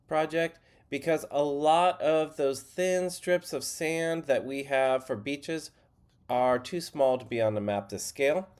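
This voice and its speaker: Congested, nasal man teaching a lesson. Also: mid-range voice. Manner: relaxed, plainspoken teaching. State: stuffy nose, slightly nasal. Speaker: college geology instructor. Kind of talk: screen-share lecture.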